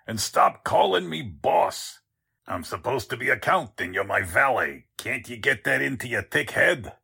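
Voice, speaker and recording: A man's voice: medium pitch, expressive, normal speed, bright, forceful tone, heavily acted. An actor playing a scheming, pompous con man who poses as a count, in 1940s radio drama style. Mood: irritated, bossy. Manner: suave affected courtesy switching to gruff bossiness.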